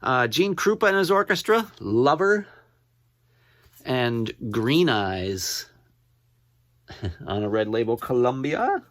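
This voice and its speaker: A man gives a relaxed, informal show-and-tell of items in his collection. He talks slowly, in halting, rambling stretches, and often says a title twice as he reads it out. The sound is dry, with little room reflection. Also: medium pitch, no echo. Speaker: record collector showing his finds. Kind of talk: casual show-and-tell to camera.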